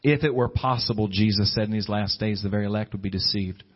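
A man preaching an evangelical sermon, exhorting earnestly with drawn-out emphatic phrases and repetition. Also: on the low side, steady tone. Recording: dull lo-fi audio under loud guitar music. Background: loud plucked guitar music.

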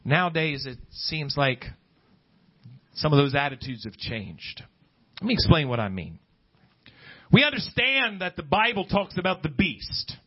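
A middle-aged American man preaching as a pastor, in emphatic, deliberate phrases with pauses. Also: fairly high pitch, big pitch swings, slightly rough. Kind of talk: preaching a sermon to a congregation, reading scripture. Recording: dull, lo-fi sermon recording.

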